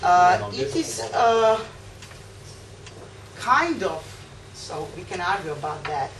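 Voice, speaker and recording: A woman's voice: on the low side, sing-song. Presenter, female, from Serbia, hesitant delivery, roomy distant sound with noise. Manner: halting, many fillers. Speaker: conference presenter. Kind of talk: giving a presentation. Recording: echoey hall, distant mic, loud background noise.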